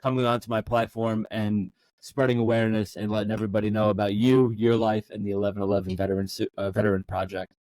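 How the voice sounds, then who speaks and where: A man's voice: lowish voice, flat delivery, fast, few pauses, darkish tone, dry recording, poor audio. A young man hosting a podcast, talking loosely with lots of fillers and easy laughs. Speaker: podcast host; active-duty service member. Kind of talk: casual interview chat.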